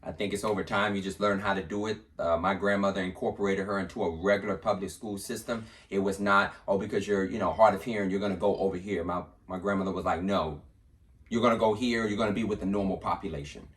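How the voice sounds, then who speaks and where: A man in a relaxed family chat, explaining things to viewers. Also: sits low, quick pace. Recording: distant mic in a room.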